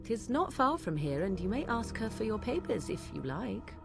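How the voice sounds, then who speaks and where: A woman's voice: mid-range voice, sing-song, vocal fry, music in the background. A woman voicing an aloof, mocking young witch who speaks in a haughty, archaic way, in a video game. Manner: haughty, archaic speech, slow and measured.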